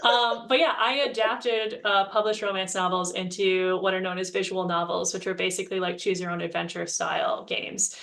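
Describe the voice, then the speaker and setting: A woman's voice: mid-range voice, steady tone, moderate pace, gravelly, few pauses, a bit bright. A woman giving a casual, good-humored explanation as a writer on a panel over a video call.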